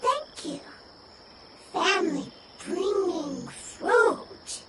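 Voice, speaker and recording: A little childlike creature with an extremely high, swooping voice. It speaks very slowly and shyly, in broken bits of one or two words, as in a fantasy game. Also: female voice, animated, very noisy, exaggerated voice. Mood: shy, earnest. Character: small, simple, shy creature with halting childlike speech. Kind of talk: video game voice acting. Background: game background music.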